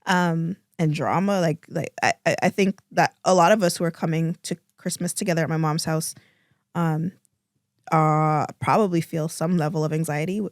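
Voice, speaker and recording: A woman's voice: low-pitched, steady tone, normal speed, no echo, studio quality. A woman in her thirties co-hosting a casual podcast, congested and hesitant, with many restarts and fillers. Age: 30s. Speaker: podcast co-host. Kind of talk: casual chat.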